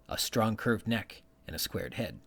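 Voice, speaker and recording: A man's voice: lowish voice, very animated, unhurried, fairly bright tone, breathy edge. A man hosting a podcast, giving bookish literary commentary from prepared notes and quoting passages as he goes. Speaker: podcast host.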